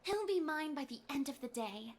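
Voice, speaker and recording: A woman's voice: sits high, lively intonation, moderate pace. A woman voicing a lovesick, cheerfully deranged female cartoon pig with a sweetly dramatic manner. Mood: sweetly scheming. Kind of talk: cartoon monologue, diary narration.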